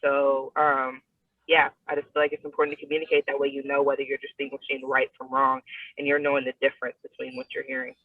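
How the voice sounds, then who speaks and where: A man answers a question in an earnest, halting way, with a flat and slightly high voice. He sounds far from the mic over a tinny phone line, and the sound is dry. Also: no room sound. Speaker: guest. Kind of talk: reflective answer in an interview.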